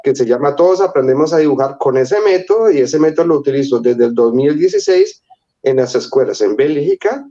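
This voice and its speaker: A man from Colombia, a guest in an interview, rambling through animated anecdotes and acting out quoted voices. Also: medium pitch, brisk, dull audio. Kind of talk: casual storytelling.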